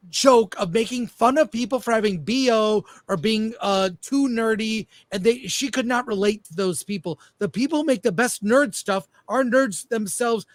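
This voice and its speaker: A man's voice: high-pitched, no room sound. A man telling anecdotes enthusiastically in casual talk show chat, as a host.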